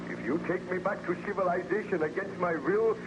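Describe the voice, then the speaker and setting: A tormented, weakened nobleman played by a male actor in an old radio melodrama, with a high-pitched male voice and formal, dramatic delivery. The aged recording carries clear noise. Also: brisk, close to an everyday voice. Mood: anguished, resigned.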